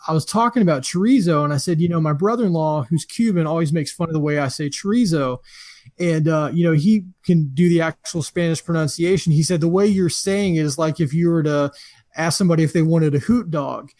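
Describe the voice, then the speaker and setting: A man's voice: a little high, dry recording. Easygoing man telling a story. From Florida. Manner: relaxed storyteller, laughs easily, many fillers. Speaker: podcast host. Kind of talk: casual podcast chat.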